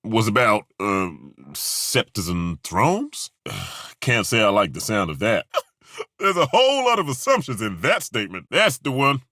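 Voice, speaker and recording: An actor playing a gruff, folksy middle-aged male dwarf fighter with a dry, wry, plainspoken way of talking, in video game voice acting. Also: fairly low pitch, big pitch swings, measured pace, sharp tone, no room sound, exaggerated voice. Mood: dry banter. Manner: dry, wry and plainspoken, with roaring battle cries. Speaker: party companion.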